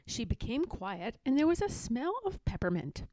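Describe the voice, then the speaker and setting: A woman reading a children's story aloud in an expressive, deliberate storytelling manner. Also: fairly high pitch, no room sound. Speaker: storybook reader. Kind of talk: children's read-aloud narration.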